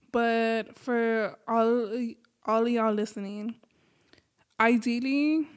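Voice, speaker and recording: Female podcast host, American, slightly high pitch, informal and easygoing, casual monologue delivery. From Los Angeles, United States.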